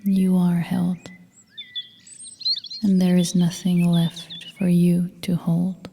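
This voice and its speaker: A woman guiding a meditation as a yoga nidra instructor, speaking in a soft, breathy half-whisper with a calm, gentle, soothing manner. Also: fairly low pitch, slow. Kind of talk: guided meditation narration. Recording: long reverb, faint noise with birdsong.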